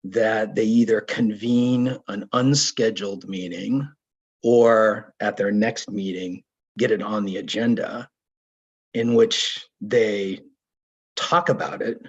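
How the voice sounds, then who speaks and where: Hoarse man questioning at a remote meeting. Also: fairly low pitch, fairly even intonation. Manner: deliberate, probing questions with restarts. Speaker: resident commenting at public hearing. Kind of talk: remote public meeting comment. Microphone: video call. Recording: dull lo-fi video call audio.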